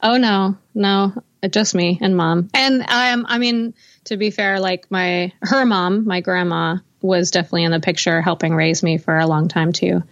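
A woman's voice: fairly low pitch, fairly even intonation, normal speed, dull audio. An American woman, a guest musician, talking casually and easily, with some fillers and laughter. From United States. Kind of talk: casual interview chat.

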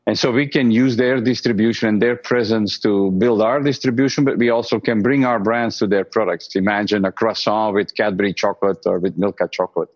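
Low-voiced executive guest on dull audio. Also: male voice, fairly even intonation. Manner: measured, with frequent restarts and repeated words. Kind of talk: interview answers.

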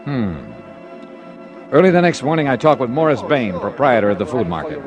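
An actor playing a terse, hard-boiled insurance investigator in a 1950s radio drama, brisk, probing and matter-of-fact. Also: male voice, medium pitch, very animated, lo-fi sound, music in the background. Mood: intent, measured. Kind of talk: 1950s radio detective narration and dialogue.

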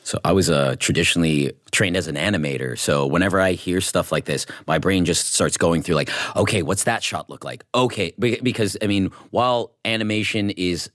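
A man's voice: low-pitched, brisk, few pauses, fairly bright tone, no room sound, clean studio sound. A man talking informally and enthusiastically as a guest in a casual panel chat, often acting out his own thoughts. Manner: informal, enthusiastic, self-quoting. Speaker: guest, voice actor with animation training.

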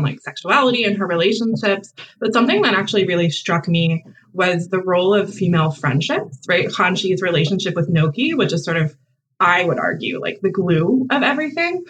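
Co-host, mid-pitched voice, measured and reflective questioning. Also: a bit bright, poor audio. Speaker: co-interviewer. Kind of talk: conversational interview question.

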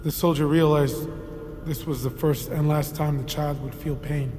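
An actor playing a troubled army therapist in an audio drama, haunted and burdened, with a halting delivery. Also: male voice, on the high side, fairly even intonation, darkish tone, natural voice. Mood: somber, haunted. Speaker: military psychologist. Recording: long reverb, music underneath.

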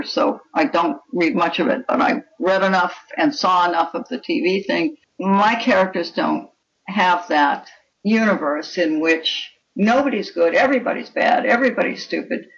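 A woman speaking as a guest author in an interview, talking about writing fiction in an opinionated, emphatic way. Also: lowish voice. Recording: dull, lo-fi call audio.

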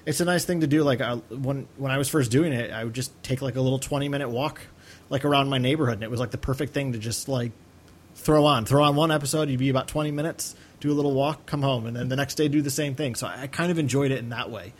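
A man's voice: medium pitch, fairly even intonation, fast. A man co-hosting a podcast in casual, rambling, hesitant chat. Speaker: podcast co-host.

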